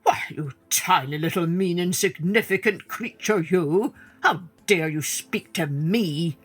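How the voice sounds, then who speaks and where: A woman's voice: on the low side, measured pace, husky, soft background music. A woman voicing a proud male lion, king of the forest, with scornful, haughty declarations in a storybook tale.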